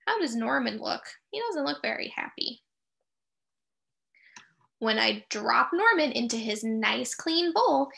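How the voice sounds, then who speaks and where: A middle-aged woman reading a picture book aloud to young children, expressive and sing-song, pausing to put questions to her listeners. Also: sits high, vocal fry. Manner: expressive, sing-song, with drawn-out key phrases and dramatic gasps.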